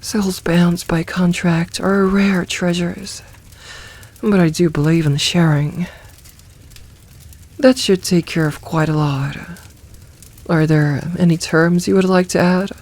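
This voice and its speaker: A woman voicing a possessive, teasing, dominant demoness in a soft, intimate ASMR roleplay, commanding and deliberate. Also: on the low side, fairly even intonation. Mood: firm, indulgent.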